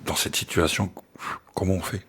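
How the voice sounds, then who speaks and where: A man interviewing a guest on the radio, asking gentle, halting questions with fillers. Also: deep voice, steady tone, husky, whispered.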